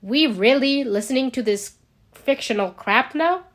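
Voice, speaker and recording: A woman plays an irritable male superhero in a storybook reading. The voice is fairly high, blunt and snappish, full of impatience. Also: expressive, unhurried. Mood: scornful.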